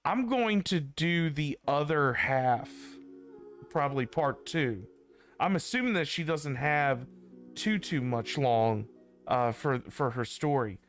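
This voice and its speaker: A man's voice: fairly high pitch, expressive, takes it slowly. A man chatting casually on a game livestream, rambling and repeating himself as he talks to his viewers. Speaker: game streamer. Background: faint game music.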